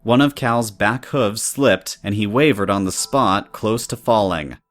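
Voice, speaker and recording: A man's voice: fairly low pitch, unhurried, fairly bright tone. A man reading scripted story narration in the third person.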